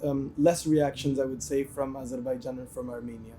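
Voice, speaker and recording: A man's voice: mid-range voice, steady tone, fairly quick, warm tone. A man from a band answering interview questions thoughtfully, with frequent restarts. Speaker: band member.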